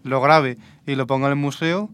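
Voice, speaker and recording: Smooth-voiced Spanish man in debate. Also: medium pitch, steady tone, fairly bright tone. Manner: measured, agreeing then adding examples. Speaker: podcast co-host. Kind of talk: casual debate.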